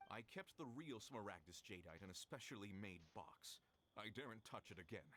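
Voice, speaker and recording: An actor playing a cornered, pleading merchant who stammers, in a video game. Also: male voice, fairly low pitch, animated, normal speed, fairly bright tone, a little hiss or hum. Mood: anxious, pleading. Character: flustered, cornered male merchant confessing a swindle.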